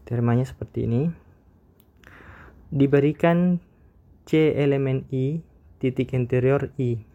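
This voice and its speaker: A man lectures on calculus, his voice warm and mellow, sitting at an ordinary middle pitch. He explains carefully and methodically, often pausing with small hesitation sounds before going on. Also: fairly even intonation, highs cut off. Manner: measured, explanatory, frequent hesitation fillers. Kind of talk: recorded math lecture.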